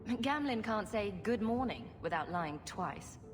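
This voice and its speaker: An actress playing a sarcastic young rogue adventurer in a fantasy game, with a dry, teasing manner. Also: female voice, mid-range voice, animated, a little slow, lightly acted. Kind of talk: fantasy video game acting. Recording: loud music behind the voice.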